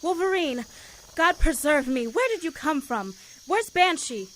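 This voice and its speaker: Woman as earnest wary hero lady, highish voice, bit of noise, fan audio drama. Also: animated, a little slow, fairly bright tone. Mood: surprised. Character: earnest, wary, questioning heroine.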